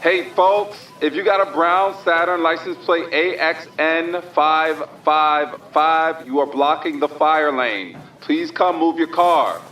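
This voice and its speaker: An actor playing a folksy supermarket announcer, giving sales patter over the store speaker in an audio drama. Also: male voice, fairly high pitch, slow pace, hardly any pauses, fairly bright tone, recorded from a distance, poor audio. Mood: folksy, unhurried.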